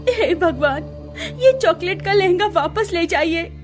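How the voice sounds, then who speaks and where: A woman's voice: expressive, unhurried, faint music behind. A woman voicing a stubborn, emotional young bride and doting daughter in a story. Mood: tearful pleading. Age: young adult. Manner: high, loud, emotive.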